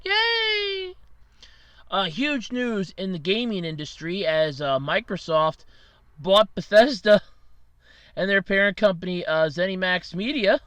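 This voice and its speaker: A man's voice: high voice, sharp tone, no room sound. A man hosting a casual solo podcast, his throat scratchy from allergies, rambling and correcting himself with little laughs. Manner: rambling, self-correcting, chuckling. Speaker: podcast host.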